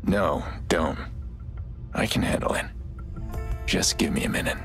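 Game drama role: guarded, tired vigilante in middle age, deep register, stoic and clipped, light music underneath. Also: male voice, unhurried. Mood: grimly determined. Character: weary, guarded middle-aged male vigilante hacker. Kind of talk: cinematic game dialogue.

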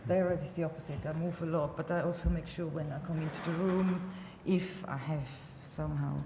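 Woman, filmmaker, hesitant panel answer, low voice, long hall reverb, faint noise. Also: steady tone, a little fast. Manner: hesitant, thinking aloud, with many fillers. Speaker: documentary filmmaker on a festival panel. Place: large hall at a panel table.

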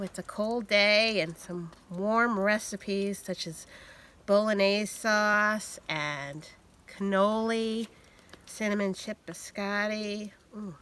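A woman's voice: mid-range voice, fairly bright tone. A middle-aged woman, a novelist, presenting her book and a trivia question to camera outdoors. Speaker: mystery novelist. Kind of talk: prepared book introduction to camera. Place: outdoors in snowy woods.

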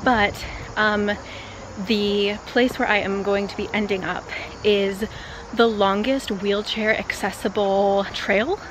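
A woman's voice: medium pitch, steady tone, slow pace, noisy background. Congested young woman vlogging on a trail. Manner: quiet, hushed and slightly hoarse. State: congested with a cold, voice partly lost. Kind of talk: casual vlog talk to camera. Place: forest trail outdoors. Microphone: phone held at arm's length.

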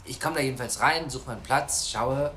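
Breezy, romantic male journalist played by an actor, slightly boastful and informal, medium pitch, naturalistic audio drama. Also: fairly quick, bright, forceful tone, close to an everyday voice. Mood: reminiscing.

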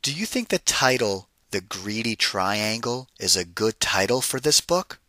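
Very bright-voiced man reading to children. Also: fairly low pitch, big pitch swings, dry recording. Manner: deliberate, emphatic, addressing children directly. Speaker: read-aloud narrator and teacher. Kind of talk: picture-book read-aloud for children with questions.

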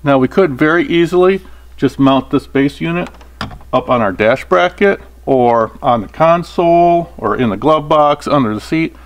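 A man's voice: on the high side, animated, moderate pace. A man in his fifties with an American accent, explaining a DIY install step by step in a plain-spoken, conversational way. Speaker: host of a DIY vehicle install channel. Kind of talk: hands-on tutorial explanation, conversational.

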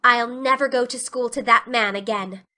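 A woman's voice: on the high side, fairly even intonation, normal speed, sharp tone. A woman voicing a proud, stubborn young schoolgirl with an earnest, melodramatic manner in an audiobook. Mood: defiant. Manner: earnest, dramatic. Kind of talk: audiobook character dialogue.

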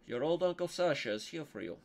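A man's voice: a little high, animated, fairly quick, vocal fry, pitched above the performer's own voice. A man putting on a hammy, jolly old uncle with a mock Russian accent and broken English. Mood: hammy welcome.

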